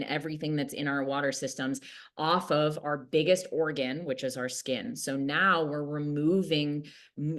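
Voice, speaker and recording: A woman in her late thirties giving an upbeat, earnest product pitch in a casual way, with fillers like "like" and "right". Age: late 30s. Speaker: product distributor and mentor.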